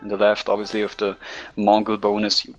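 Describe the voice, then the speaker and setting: Low-voiced man co-casting. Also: monotone, brisk, dull audio, faint music behind. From Liechtenstein. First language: German. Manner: thoughtful, hesitant, restarts phrases often. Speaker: co-caster. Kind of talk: esports commentary over voice chat.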